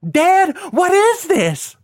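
A man's voice: on the high side, expressive, measured pace, dry recording, clean studio sound, pitched above the performer's own voice. A man voicing a spoiled, defiant rich son in an audiobook, whiny, agitated and easily panicked. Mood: shrieking panic. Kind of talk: audiobook character acting.

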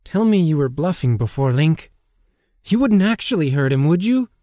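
A man voicing a gentle, anxious female fairy companion in hushed tones, in a storybook reading. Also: a little high, very animated, normal speed, no echo, clean studio sound, voice pushed up.

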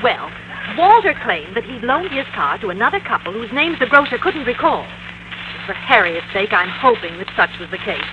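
A woman's voice: fairly high pitch, very animated, normal speed. An actress playing a grave, proper middle-aged wife and mother in an old-time radio comedy, formal and emphatic. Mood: firm, worried. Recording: dull, noisy vintage radio recording.

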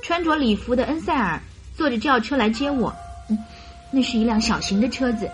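A woman's voice: a little high, animated, a little fast, soft background music, natural voice, low-quality recording. An actress playing an earnest, naive young bride-to-be in a radio drama, recounting her story in a hesitant, chatty way.

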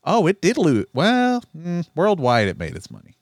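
A man co-hosting a casual movie podcast, giving quick agreeing replies between longer riffs. His pitch swings up and down a lot as he talks. The recording is dry and close. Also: no echo. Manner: short agreeing replies, lively bouncing pitch. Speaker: podcast co-host.

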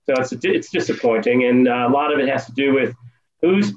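A man's voice: mid-range voice, brisk. Hesitant man on a muffled call. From United States. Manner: hesitant, many ums and restarts. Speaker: guest. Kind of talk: casual interview over a call.

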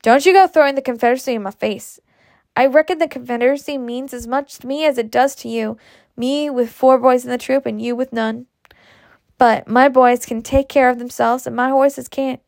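Woman reading as blunt, opinionated Southern matron, high-voiced. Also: steady tone, moderate pace, gravelly, darkish tone, no room sound. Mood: indignant, stubborn. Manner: emphatic, talkative. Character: blunt, opinionated Southern horse-breeding matron, stubborn and boastful.

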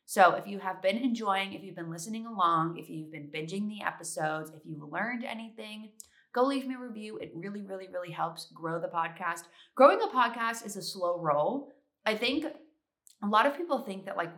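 A woman's voice: on the low side, bright, forceful tone. A young woman hosting a solo podcast in a chatty, upbeat way, congested from allergies. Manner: chatty, upbeat, many fillers. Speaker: podcast host.

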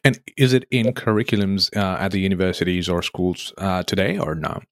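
A young man from Nepal, hosting an interview in a relaxed, chatty way with lots of "um" and "like". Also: deep voice, fairly quick, no echo, studio quality. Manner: relaxed, chatty, many fillers like "um" and "like". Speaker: podcast host. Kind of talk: casual interview conversation.

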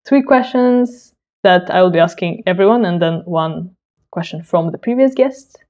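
A woman hosting a podcast interview who speaks gently and often murmurs soft agreement. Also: lowish voice, animated, normal speed, dull audio. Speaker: podcast host.

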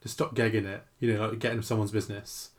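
A man's voice: lowish voice, level tone, fast. A young man from England in casual chat, co-hosting and running a quiz in a laid-back, teasing way. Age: young adult. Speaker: co-host.

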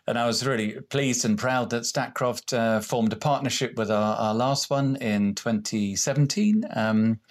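A man, answering interview questions in a hesitant way, full of restarts and fillers. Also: lowish voice. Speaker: renewable energy executive.

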